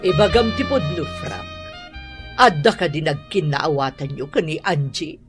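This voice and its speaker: A woman's voice: deep voice, lively intonation, measured pace, soft background music. A woman acting a stern, controlling wealthy mother in a radio drama, speaking in a commanding, scolding way. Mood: mocking. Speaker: Madam Carla, employer and mother.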